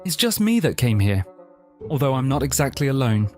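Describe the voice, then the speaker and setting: A peaceable, courteous cartoon animal, acted by a man. The reassuring voice is medium in pitch and lively, over faint music. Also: fairly quick, natural voice. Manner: polite, reassuring. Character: polite, peaceable cartoon animal newcomer offering help. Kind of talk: cartoon comic dub.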